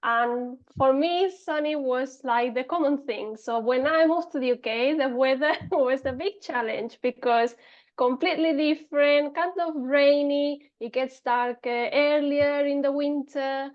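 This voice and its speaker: A woman's voice: high-pitched, measured pace, muffled recording. A Spanish-accented woman in her thirties, a podcast guest talking casually with many fillers and restarts. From Spain. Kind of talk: casual interview talk.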